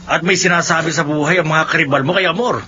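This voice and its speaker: Bright-voiced teasing drinking buddy. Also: male voice, a little high, steady tone, quick pace. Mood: gossipy. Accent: Filipino. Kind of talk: radio drama acting. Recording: muffled lo-fi radio audio, clear noise.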